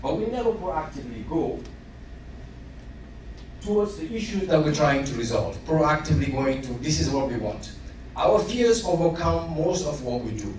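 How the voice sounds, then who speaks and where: A man's voice: on the high side, animated, normal speed, a little mellow. A man from Senegal in the audience, giving a formal comment at a panel with many fillers and building emphasis. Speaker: audience member asking a question. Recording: echoey hall, distant mic, noisy.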